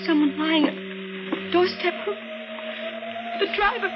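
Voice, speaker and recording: An actress playing a frightened, unravelling young woman in a 1950s radio thriller, with halting, fragmented phrases. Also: extremely high pitch. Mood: panicked. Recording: muffled old radio recording with loud music.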